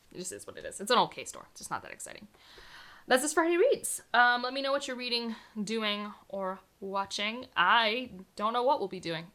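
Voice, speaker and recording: Bright, chatty woman book vlogger. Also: medium pitch. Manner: enthusiastic, chatty, many "like" fillers. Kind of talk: casual chat to camera. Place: home room surrounded by books.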